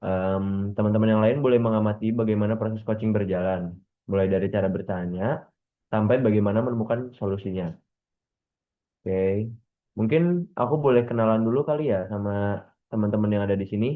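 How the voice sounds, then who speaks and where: A man in his late twenties leading a practice coaching session over a video call, speaking gently and informally with frequent hesitations. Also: fairly low pitch, steady tone, fast talker, phone-quality sound. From Bekasi, Indonesia. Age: 20s. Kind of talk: role-play coaching session.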